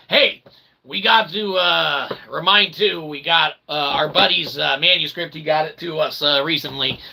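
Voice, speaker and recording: A man's voice: on the high side, gravelly, pushed and bright, husky, poor audio. A man hosting his own channel, delivering a rambling philosophical monologue in wordy, mock-formal, archaic phrasing and constantly checking in with "okay?". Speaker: host of own channel, independent author and developer.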